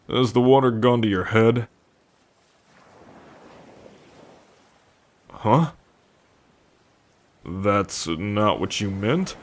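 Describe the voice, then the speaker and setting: Man playing a slow-speaking, low-voiced wary merman. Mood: bemused. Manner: slow, hesitant, questioning delivery. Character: wary, curious young merman, gruff rescuer. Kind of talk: ASMR roleplay addressed to the listener.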